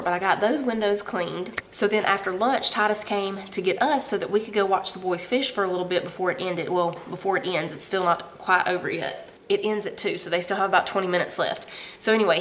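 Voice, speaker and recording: A woman's voice: medium pitch, a bit bright, a little hiss or hum. A woman, chatting casually to camera in her living room, rambling and laughing as she goes. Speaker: family vlogger. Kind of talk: casual vlog chat.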